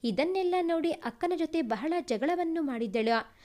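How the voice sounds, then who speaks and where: A woman reads a sentimental family story aloud as a storyteller, in a measured, formal way. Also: high voice, animated, darkish tone. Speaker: storyteller, narrator.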